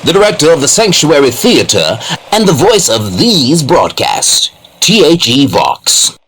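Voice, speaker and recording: A man announces a show in a dramatic style. His pitch swings in a lively way. The recording is noticeably noisy. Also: unhurried.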